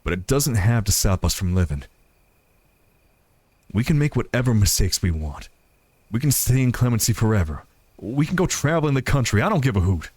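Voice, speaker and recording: A man's voice: fairly low pitch, very animated, normal speed, long pauses, darkish tone, no room sound. A man voicing a tender, reassuring cowboy outlaw with a folksy Western drawl in a visual novel.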